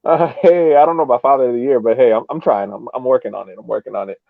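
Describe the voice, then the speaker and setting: A man gives casual sports analysis as a podcast panelist. His mid-pitched voice comes through thin and band-limited, like a radio, with no echo around it. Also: very fast, vocal fry. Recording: muffled narrow-band radio-like sound.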